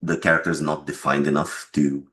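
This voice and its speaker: A man answering interview questions as a game developer and writer, explaining things in a measured way. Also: rumbling low, little pitch movement, fairly bright tone, slight background noise.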